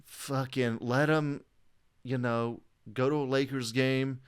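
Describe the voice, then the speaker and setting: A man with a clear, smooth voice at an ordinary pitch chats casually as a podcast co-host. His way of talking is blunt and slangy, with loose, profane language. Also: steady tone, slow pace. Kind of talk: casual chat.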